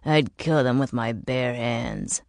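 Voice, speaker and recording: A woman voicing a bitter, world-weary older man in an audiobook, explaining grim things in a measured, emphatic way. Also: extremely low pitch, sing-song, gravelly, a little mellow, no echo, clean studio sound, voice pushed down, full character voice. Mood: seething. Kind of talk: audiobook character dialogue.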